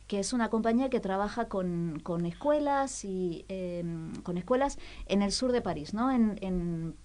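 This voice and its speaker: A woman's voice: medium pitch, expressive, measured pace, a little mellow. A woman presenting a culture segment on the radio in unscripted chat, often thinking aloud and correcting herself. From lived in France, half French.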